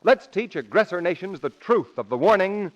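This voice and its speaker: A man's voice: high voice, lively intonation, normal speed. A man announcing on 1940s radio in a formal, emphatic scripted style. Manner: emphatic, formal sales delivery. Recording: muffled old radio broadcast.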